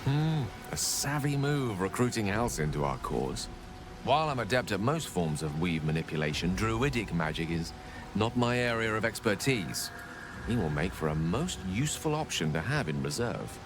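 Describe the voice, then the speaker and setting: A learned, self-assured wizard from a fantasy game. His voice sits fairly low and moves in lively swings, genteel and wordy, over music. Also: moderate pace. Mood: approving. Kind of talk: video game dialogue.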